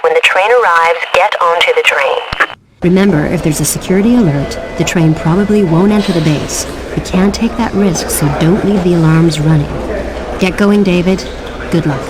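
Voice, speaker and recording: A woman playing a brisk, analytical military mission controller, giving scripted briefings in a game cutscene in a commanding, businesslike way. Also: crowd noise, lightly acted. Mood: urgent instruction. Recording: noisy, vehicle and ambient rumble behind.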